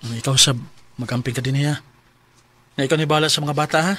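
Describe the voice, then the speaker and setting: A man's voice: mid-range voice, fairly even intonation, brisk, sharp tone. A man with a Cebuano Filipino accent playing a devoted, anxious, tender husband and father in a sentimental radio drama. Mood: gentle, caring. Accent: Filipino, Cebuano-speaking. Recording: music behind the voice.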